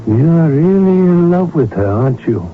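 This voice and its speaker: An actor in a radio drama playing a cautious royal doctor and confidant, formal and precise in speech. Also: male voice, on the high side, very animated, moderate pace, slight background noise. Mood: concerned. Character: cautious, concerned male royal physician and confidant. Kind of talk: radio drama acting and in-character narration. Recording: dull vintage radio broadcast, faint music.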